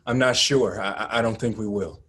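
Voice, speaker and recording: A man playing a grim, sad activist in a dramatic table read, halting and earnest in an understated way. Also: fairly low pitch, fairly bright tone, husky. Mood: tense, planning. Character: Gus, grim, sad male activist planning an escape. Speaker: actor.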